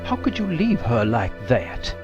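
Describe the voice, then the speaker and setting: A man's voice: medium pitch, wildly swooping pitch, measured pace, muffled recording, music in the background. A man acting in an audio drama as a protective, questioning man with a direct, urgent manner. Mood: alarmed, protective.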